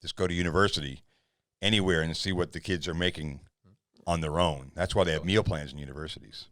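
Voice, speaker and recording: A man's voice: sits low, fairly even intonation, fast, fairly bright tone, no room sound, studio quality. A man hosting a podcast, chatting and asking his guest questions. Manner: conversational, asks questions. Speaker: podcast host. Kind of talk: casual interview chat.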